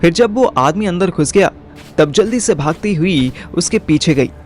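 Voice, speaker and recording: A man's voice: high-pitched, animated, normal speed, darkish tone, slight background noise. A man with an Indian accent narrating a scripted audio story in a wry, colloquial way. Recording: music behind the voice.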